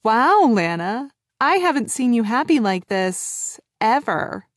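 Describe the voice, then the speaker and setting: A woman's voice: a little high, very animated, slow pace, no room sound, clean studio sound. A woman voicing a flustered, skeptical woman of thirty, raising her voice with surprised questions. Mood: uncertain. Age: 30s.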